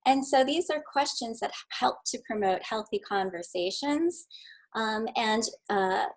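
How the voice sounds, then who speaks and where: Breathy gentle storytelling woman. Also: medium pitch, rough recording. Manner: gentle, with casual fillers and restarts. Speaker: children's story livestream reader. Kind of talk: reading a picture book aloud, then chatting.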